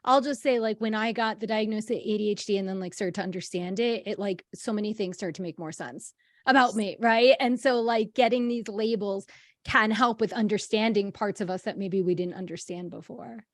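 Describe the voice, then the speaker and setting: A woman co-hosting a podcast, bubbly and giggly, with a loud voice she owns. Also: medium pitch, brisk, dry recording, clean studio sound. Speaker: podcast co-host. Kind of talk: casual chat.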